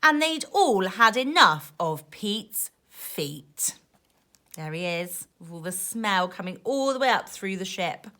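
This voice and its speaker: A woman teacher reading a rhyming picture book to young children in an expressive, sing-song way, with asides to her listeners. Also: medium pitch, takes it slowly. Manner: animated, emphatic, sing-song rhyming delivery.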